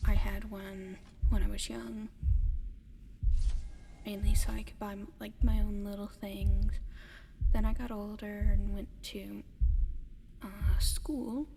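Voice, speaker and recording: A woman voicing a gentle giant alien in an ASMR roleplay, speaking softly and often whispering, in a hesitant, halting, explaining way. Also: mid-range voice, little pitch movement, noisy background. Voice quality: soft, breathy, often whispered. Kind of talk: ASMR roleplay addressed to the listener.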